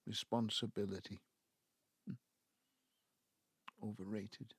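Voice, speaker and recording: An ageing patriarch from the South Wales Valleys in an audio drama, his voice very deep and rough with husk. He takes his time, halting and brooding as he justifies himself. The sound is close and dry. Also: flat delivery, dry recording, exaggerated voice. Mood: rueful. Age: 70s. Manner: halting, reflective, breaking into low muttering. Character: embittered ageing Welsh patriarch, wistful and self-justifying. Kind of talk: naturalistic audio drama monologue.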